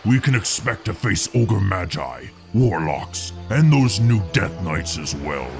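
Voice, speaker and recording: A man playing a stern orc military officer in a fantasy game, gruff and deliberate in his delivery. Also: low voice, very animated, music in the background, heavily acted. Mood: stern, wary.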